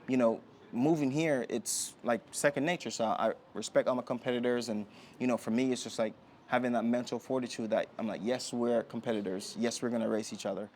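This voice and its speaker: A young man from Jamaica talking as a podcast guest, relaxed and rambling with many fillers and restarts. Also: mid-range voice, brisk, a little hiss or hum. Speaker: guest, Olympic sprinter. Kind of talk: casual interview talk. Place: indoor podcast setting.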